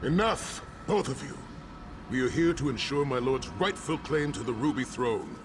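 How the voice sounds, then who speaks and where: A man's voice: mid-range voice, animated, normal speed, music in the background, close to an everyday voice. A man playing a stern, loyal retainer of a lord in a fantasy game. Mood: stern, scolding. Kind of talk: dramatic fantasy game voice acting.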